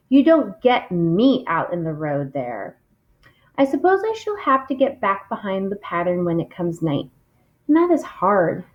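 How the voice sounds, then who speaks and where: Woman reading aloud, dull lo-fi sound. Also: mid-range voice. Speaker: reader of a read-along. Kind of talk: expressive storybook reading aloud.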